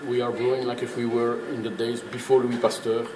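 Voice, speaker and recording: A man guides a tour with an emphatic, didactic delivery. He explains informally but with firm opinions. The sound is roomy and echoing, with clear background noise. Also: mid-range voice, flat delivery, a little fast. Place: indoors in a working brewery.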